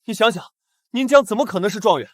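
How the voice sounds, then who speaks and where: A young actor playing a spoiled, sneering teenage son, petulant and accusing, in a melodramatic drama. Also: male voice, high-pitched, lively intonation, fast talker, dry recording, studio quality. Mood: indignant. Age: young adult. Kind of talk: melodramatic short-drama acting.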